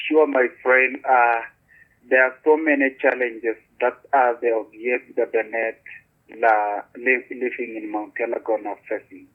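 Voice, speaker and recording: A man's voice: mid-range voice, steady tone, takes it slowly. A man with a Ugandan accent, earnest, restarting and repeating words as he explains things in an interview. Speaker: community beekeeper guest. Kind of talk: interview over a call. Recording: husky tinny phone-line audio.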